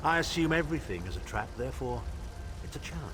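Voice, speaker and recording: An actor playing a disdainful, sardonic schemer in a fantasy video game, pompous and sarcastic in delivery. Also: male voice, medium pitch, animated, quick pace, natural voice. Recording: clear background ambience noise.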